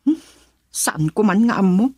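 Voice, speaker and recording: Isang babaeng gumaganap bilang kaibigang nagtatanong at nagpapayo, na may punto ng Ilocano, sa isang radio drama. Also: female voice, mid-range voice, lively intonation, a little slow, mellow, slightly rough, no echo, clean studio sound. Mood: probing. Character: friend giving advice.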